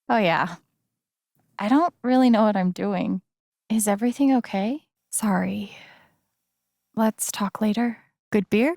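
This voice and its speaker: An actress playing a friendly, wry and earnest young woman in a video game, talking in a casual, gently teasing way. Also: mid-range voice, creaky, dry recording, studio quality. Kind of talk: naturalistic video game dialogue acting.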